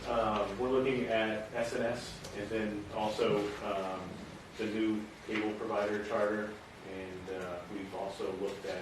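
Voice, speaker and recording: A man explaining items as a town official at an informal meeting, speaking in a halting, hesitant way. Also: lowish voice, level tone. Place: indoor meeting room. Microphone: distant room microphone. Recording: very echoey, distant, loud background noise.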